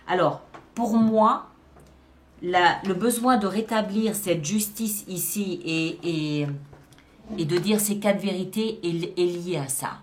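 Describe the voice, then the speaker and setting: A woman's voice: fairly low pitch, a little hiss or hum. A woman hosting a tarot reading, explaining the cards to viewers in an emphatic way, often repeating phrases to drive a point home. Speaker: tarot reader and channel host. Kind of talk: spoken tarot card reading addressed to viewers.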